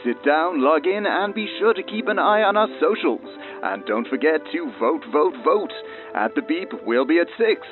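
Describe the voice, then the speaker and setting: A man's voice: fairly high pitch, animated, moderate pace. An actor playing an upbeat, slick male live-radio announcer heard through a radio filter in an audio drama. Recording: narrow-band radio sound over music.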